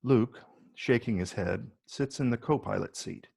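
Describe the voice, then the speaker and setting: A man reading stage directions as scripted narration in a measured, descriptive way. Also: mid-range voice, gravelly, a little mellow, husky.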